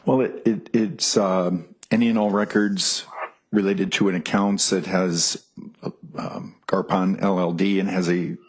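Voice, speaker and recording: A man gives testimony from the witness stand in a very deep voice with a husky edge. He speaks hesitantly, pausing often with ums as he works through a document. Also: level tone. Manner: hesitant, many ums, reading aloud. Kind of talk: courtroom testimony. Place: courtroom.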